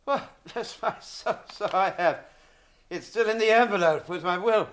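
An actor playing an elderly, whimsical and fussy hobbit with a British accent in a radio drama. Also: male voice, high-pitched, moderate pace, a bit bright. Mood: cheerful, flustered. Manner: chatty, whimsical, old-fashioned exclamations.

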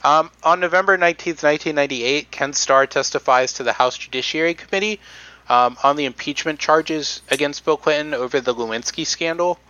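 A man's voice: fairly high pitch, a little fast, bright, forceful tone, no echo, muffled recording. A man hosting a casual podcast, reading out notes with many ums and restarts. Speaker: podcast host presenting research.

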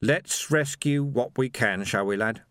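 A man voicing a menacing, sardonic police sergeant in an audiobook, deliberate and mock-polite. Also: medium pitch, a bit bright, no echo, clean studio sound, close to an everyday voice. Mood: steady, wry. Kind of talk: audiobook character voice.

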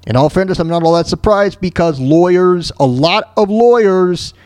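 An American man with a fairly high voice that swings around a lot, recorded very dry. He hosts a casual talk show, rambling through commentary and often stammering and repeating himself as he goes. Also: animated, darkish tone, no room sound. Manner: halting, stammering, repeats words and phrases. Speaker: solo host of a talk show.

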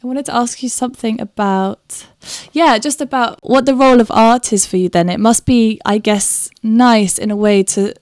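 Smooth-voiced woman interviewer. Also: mid-range voice, darkish tone, no echo, clean studio sound. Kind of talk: interview conversation.

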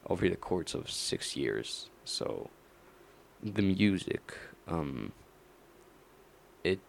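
Slow, gravelly low-voiced young man. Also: very animated, slight background noise. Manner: mumbled, slow, halting, with many fillers. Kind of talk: rambling late-night solo talk.

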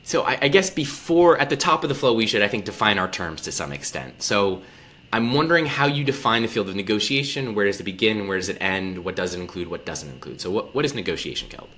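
Very deep-voiced male interview host. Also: lively intonation, fast, a bit bright, a little hiss or hum. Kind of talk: conversational interviewing.

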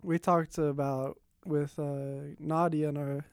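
Young man host, fairly high voice, smooth and clear, dry close sound, chatty. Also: no echo. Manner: chatty, quick to laugh, bursts of excitement. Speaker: podcast host. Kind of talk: casual conversation.

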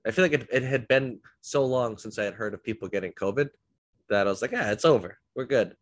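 A man's voice: medium pitch, a little fast, no echo. A man hosting a podcast, a bit congested from illness, telling rambling self-deprecating stories in a casual chat. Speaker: podcast host.

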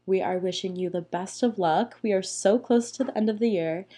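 A young woman hosting a casual solo podcast, hoarse and raspy from being sick, chatty and playful. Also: medium pitch, normal speed, warm tone. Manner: chatty, playful, rambling with fillers. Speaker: podcast host. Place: small room at home.

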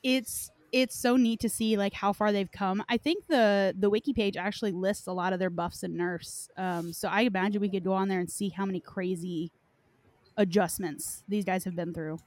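A woman's voice: mid-range voice, steady tone, moderate pace, a little mellow, no room sound. A woman hosting a podcast in casual chat, informal and reactive, often dropping "like" into her sentences. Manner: informal, reactive, peppers talk with "like". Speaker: podcast host.

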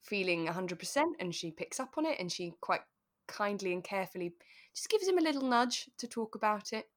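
A woman's voice: mid-range voice, sing-song, brisk. A British woman co-hosting a podcast, chatting casually and thoughtfully, explaining her points in an agreeable way. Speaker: podcast co-host. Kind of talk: casual chat discussing a radio drama.

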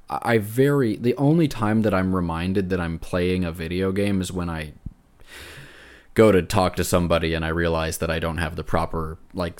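A man co-hosting a casual video game discussion, speaking as an earnest, emphatic enthusiast. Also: low-pitched. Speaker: podcast co-host.

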